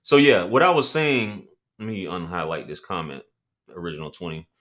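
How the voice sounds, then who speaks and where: A man with a deep voice hosting a casual livestream, reading chat comments and talking loosely with profanity and laughing asides. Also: big pitch swings, normal speed, fairly bright tone.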